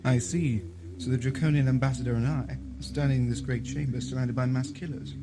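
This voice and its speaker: A man's voice: medium pitch, fairly even intonation, a little fast, lightly acted. A man playing a blunt, sceptical, easily indignant commissioner in an audio drama. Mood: wary. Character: wary, indignant male federation commissioner. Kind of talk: radio drama acting. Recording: noisy amateur 1980s audio drama tape.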